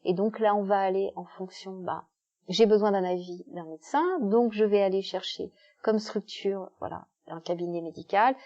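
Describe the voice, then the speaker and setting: A woman speaker explains step by step through everyday examples, often pausing with fillers. Her voice is dark and soft-edged. The recording sounds somewhat dull and muffled. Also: mid-range voice. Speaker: guest speaker, author. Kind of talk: explanatory talk at a club meeting.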